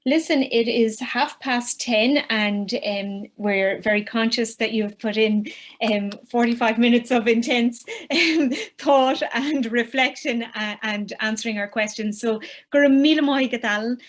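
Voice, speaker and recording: A woman's voice: fairly high pitch, a little slow. Woman chairing a panel. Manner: gracious, with fillers and Irish phrases. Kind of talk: moderating a panel discussion.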